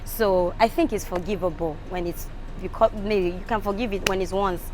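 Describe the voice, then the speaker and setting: A woman answers a street interview in a casual way. Her pitch is average and there is faint traffic noise behind her. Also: a little fast. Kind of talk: casual opinion giving.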